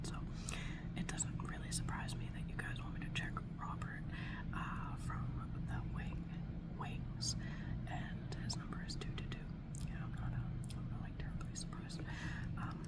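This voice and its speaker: A woman playing a calm, unworried robot technician in a whispered ASMR roleplay, breathy and hesitant as she murmurs her side of a conversation. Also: close to an everyday voice. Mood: calm, hushed. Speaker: ASMR creator.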